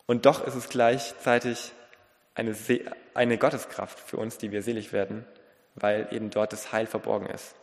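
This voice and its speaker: A man delivering a sermon in an earnest, deliberate way, in short phrases with pauses and a slight lisp. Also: fairly low pitch, flat delivery. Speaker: preacher. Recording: long reverberant hall.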